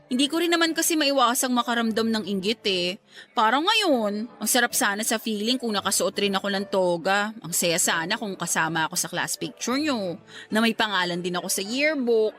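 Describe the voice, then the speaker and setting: A woman acting a sentimental young working woman who hopes to teach, earnest and expressive, in a radio drama. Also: a little high. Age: young adult.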